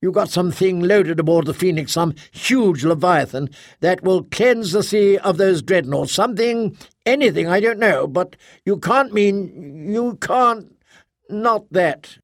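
A man's voice: high-pitched, dry recording, studio quality, voice pushed up, close to an everyday voice. Man doing an irritable woman official. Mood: exasperated. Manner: exasperated, quick, incredulous.

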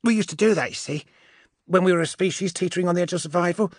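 Man voicing a cool, high-pitched mocking witch woman. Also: quick pace, dry recording, clean studio sound. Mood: solemn, reflective. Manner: wry, portentous, sure of herself.

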